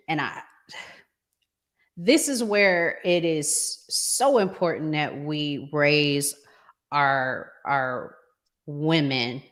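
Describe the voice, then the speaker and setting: Relationship podcast host: American woman, middle-aged, deep for a woman, unhurried pacing, deliberate and opinionated delivery. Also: fairly bright tone. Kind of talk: conversational relationship talk show.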